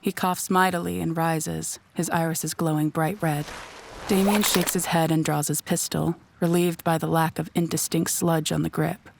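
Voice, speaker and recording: A woman's voice: sits low, flat delivery, moderate pace, gravelly, slight background noise. A woman narrating a scripted audio drama in a descriptive storytelling manner.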